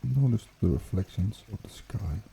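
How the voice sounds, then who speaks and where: A man hosting an ASMR guided meditation in a hushed, unhurried, soothing way with gentle pauses. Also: on the low side, lively intonation, gravelly, slight background noise. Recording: water trickling behind the voice. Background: pond water bubbling and trickling.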